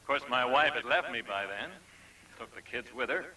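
An actor playing a middle-aged recovering alcoholic, speaking candidly to a meeting. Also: male voice, medium pitch, normal speed, pushed and bright, recorded from a distance, rough recording.

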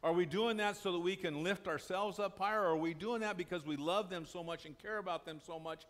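Older pastor preaching in conversation. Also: male voice, high-pitched, a little fast, fairly bright tone. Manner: conversational, asks and answers his own questions. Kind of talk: sermon. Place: small church sanctuary, indoors.

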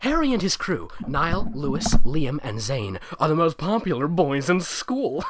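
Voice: medium pitch, big pitch swings, a little slow, background noise. A host reading fan fiction aloud in a giggly, joking, mock-enthusiastic way, with comic commentary.

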